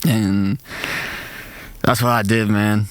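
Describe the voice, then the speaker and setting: Young man with a nasal low voice. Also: flat delivery, slow, dry recording. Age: young adult. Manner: loose slangy talk full of "like" and "man". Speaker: rapper and producer guest. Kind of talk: casual interview chat.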